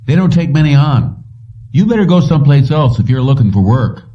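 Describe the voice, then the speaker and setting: A man's voice: mid-range voice, expressive, fairly quick, pitched above the performer's own voice, lightly acted. A man voicing a wary, curt small-town cab driver with clipped, colloquial speech in an audiobook. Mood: guarded. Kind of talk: audiobook character dialogue. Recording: dull lo-fi, distant from mic.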